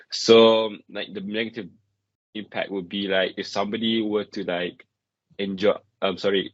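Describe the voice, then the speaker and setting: Young man, deep voice, many fillers. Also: no echo, muffled recording. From Malaysia. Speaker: interviewee. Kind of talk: casual interview answer.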